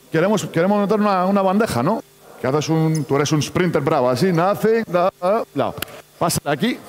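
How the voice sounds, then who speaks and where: A man who is not a native Spanish speaker runs a basketball coaching clinic, giving choppy instructions and calling out to players on court. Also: fairly high pitch. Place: large indoor basketball arena. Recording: echoey sports hall.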